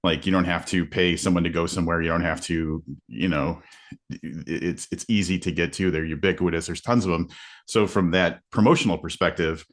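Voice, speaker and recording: Casting note: deep-voiced male podcaster, relaxed panel chat over a video call. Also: a little fast. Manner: conversational, loose with restarts. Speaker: podcast host. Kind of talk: casual panel discussion.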